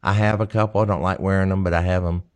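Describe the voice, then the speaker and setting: A man's voice: deep voice, steady tone, quick pace, clean tone. Brief: man, co-host, folksy and teasing, reads comments aloud. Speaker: co-host reading viewer comments. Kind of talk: casual live-stream chat.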